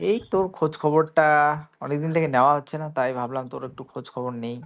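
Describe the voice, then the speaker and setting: A young adult man talks casually, as if to a friend on a call. His pitch is middling, and he tends to hesitate and ramble as he goes. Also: steady tone, quick pace. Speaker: prank caller. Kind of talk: casual phone chat.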